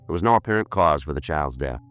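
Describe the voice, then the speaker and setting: An actor playing a terse, unflappable police detective who questions and narrates in a deadpan, clipped manner, in 1950s radio crime drama style. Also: male voice, extremely low pitch, fast, clear, resonant tone. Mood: matter-of-fact. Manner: deadpan, clipped, matter-of-fact.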